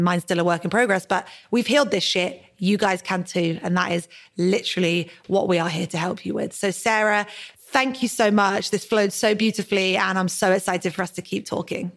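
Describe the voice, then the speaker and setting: A woman's voice: lowish voice, steady tone, a little fast. A woman hosting a podcast, talking in an effusive, casual way, swearing freely and saying "like" often. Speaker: podcast host.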